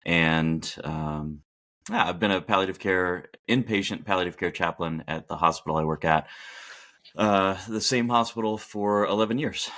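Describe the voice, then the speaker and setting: A man with a very deep voice, a hospital chaplain who grew up in Texas. He talks in an unhurried, reflective way, circling back and restarting his sentences as he thinks aloud in a podcast interview. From Texas, Dallas area. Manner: relaxed and reflective, many fillers and restarts. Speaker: hospital palliative care chaplain, podcast guest. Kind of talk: casual interview talk.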